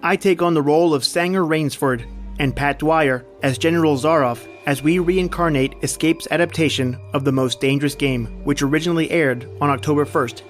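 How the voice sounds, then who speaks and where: A man hosting a podcast, reading a scripted introduction to an old-time horror radio drama. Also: on the high side. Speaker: podcast host.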